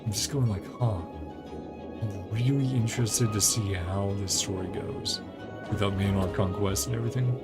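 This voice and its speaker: A man giving casual, rambling gameplay commentary as a streamer, thinking aloud as he plays, with a creaky voice. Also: on the low side, moderate pace. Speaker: video game streamer. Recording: game music behind the voice. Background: video game music.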